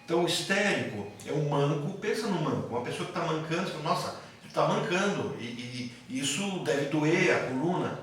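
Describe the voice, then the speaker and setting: A man's voice: a little high, animated, a little fast, few pauses, a bit bright. A man with a Brazilian Portuguese accent teaching a class in a didactic way, posing questions and answering them himself. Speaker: psychoanalysis teacher. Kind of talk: classroom lecture with questions to students. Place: classroom with students. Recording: very echoey room, distant mic, faint noise.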